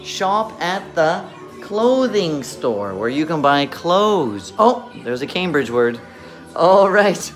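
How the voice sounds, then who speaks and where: A middle-aged man teaching young students on camera, encouraging and enunciating deliberately, his voice slightly muffled by a face mask, recorded in a classroom at arm's length. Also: a little high, very animated, faint music behind. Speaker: children's English teacher. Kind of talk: talking young students through worksheets on camera. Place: indoor classroom. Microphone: phone or camera at arm's length.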